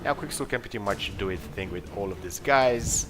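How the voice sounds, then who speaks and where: A man's voice: fairly low pitch, a little fast. A man giving casual gameplay commentary, thinking aloud in a rambling, hesitant way. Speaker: video game player commentator. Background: game music.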